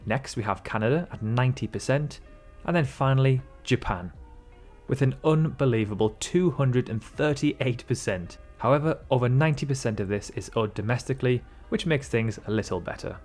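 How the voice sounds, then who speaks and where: Upbeat guy reading a stats comparison, medium voice, soft music underneath. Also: medium pitch, normal speed. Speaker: video narrator. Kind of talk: scripted comparison narration. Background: faint background music.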